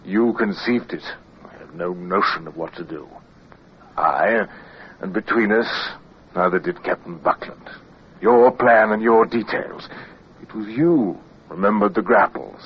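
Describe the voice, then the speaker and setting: An actor playing a gruff, honest British naval lieutenant in an old radio drama, hearty in command and halting in reflection. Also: male voice, on the low side, lightly acted. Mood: humbled, earnest. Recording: noisy, narrow-band old radio recording.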